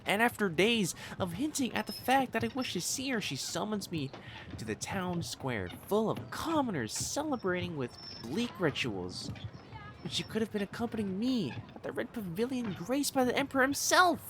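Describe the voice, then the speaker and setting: Male actor for a prissy, lovestruck young celestial matchmaker in period romance audio drama: high-pitched, highly animated, pompous, prone to stammering. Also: normal speed, background noise. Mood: haughty, indignant. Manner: pompous, formal diction, stammering when flustered. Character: haughty, flustered, lovestruck young male divine matchmaker in imperial China. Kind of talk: romantic audio roleplay, theatrical monologue.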